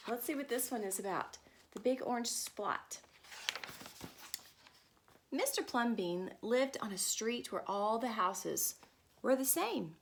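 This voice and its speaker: A woman reading a picture book aloud to children, expressive and stopping to ask her listeners questions. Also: a little high, slight background noise. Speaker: storyteller.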